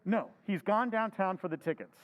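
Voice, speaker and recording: A man playing an earnest handyman friend in an old-time radio drama recreation, talking in a forceful way. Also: high voice, normal speed, big-room sound, natural voice.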